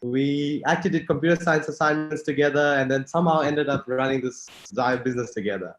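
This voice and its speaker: A young man from Malawi co-hosting an online workshop, relaxed and friendly in casual talk. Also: mid-range voice, fairly quick, poor audio. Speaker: co-host. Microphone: video call.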